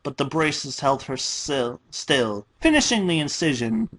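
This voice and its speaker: A man's voice: on the high side, very animated, unhurried, a bit bright, rough recording. Man giving a dramatic story narration. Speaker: amateur fan fiction reader.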